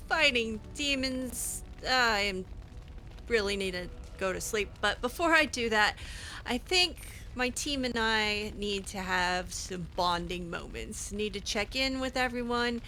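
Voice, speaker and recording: A woman's voice: medium pitch, lively intonation, measured pace, sharp tone, slight background noise, poor audio. A woman improvising in-character roleplay as she plays a game, chatty, hesitant and wry. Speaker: gaming channel host roleplaying a mage character.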